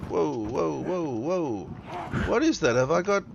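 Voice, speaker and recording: A man's voice: a little high, lively intonation, a little slow, creaky. A man from South Africa with a South African accent, chatting casually and crudely to his live-stream audience while gaming. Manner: rambling and crude, swears freely. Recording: dull lo-fi with background noise.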